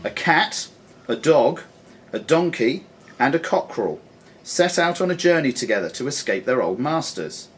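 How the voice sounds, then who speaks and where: A man acting as quizmaster, reading questions aloud in a deliberate, formal announcing way in a club room. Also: medium pitch, big pitch swings, a bit bright, slightly rough. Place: sports and social club room. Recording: noisy live recording in club room.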